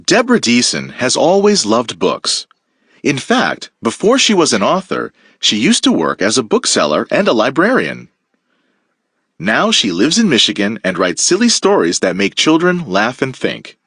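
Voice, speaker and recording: Expressive man reading a storybook aloud. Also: mid-range voice, moderate pace, breathy edge, dry recording, studio quality. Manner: expressive storytelling, playful emphasis. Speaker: teacher. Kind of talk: reading a picture book aloud to children.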